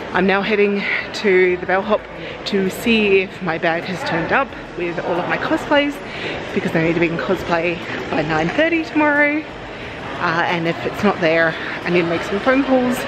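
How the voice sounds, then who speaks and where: A woman's voice: fairly low pitch, very noisy. A young woman vlogging in a chatty, bubbly way, laughing often and restarting sentences. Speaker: vlogger and cosplay judge. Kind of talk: casual vlog chat.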